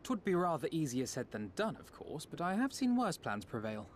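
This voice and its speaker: A man playing a measured, scholarly strategist in a fantasy video game, speaking in formal, archaic and articulate phrasing. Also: a little high, sing-song, a little hiss or hum. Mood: measured, wry. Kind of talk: video game dramatic acting.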